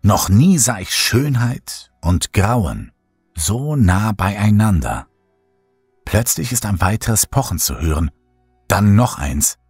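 A man reading a first-person horror story in a slow, hushed, brooding way. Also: on the low side, very animated, breathy edge, no room sound, faint music behind. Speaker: audiobook narrator.

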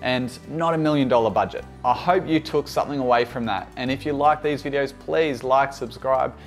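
A man in his thirties presents a tutorial to camera. His voice sits at a middling pitch and his delivery is conversational and explanatory. Faint music plays behind him. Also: a little fast. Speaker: videographer and presenter. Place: bright furnished room indoors.

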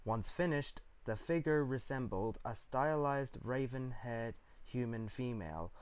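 A man's voice: mid-range voice, unhurried, smooth, poor audio. A man narrating a written story from a script.

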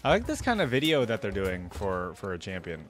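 A man's voice: fairly low pitch, huge pitch swings, fairly quick, over music. A young man giving casual, informal reaction commentary, thinking aloud and reading bits out at a close desk microphone. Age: young adult. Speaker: reaction video host. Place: indoor gaming setup. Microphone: desk microphone up close, headset on.

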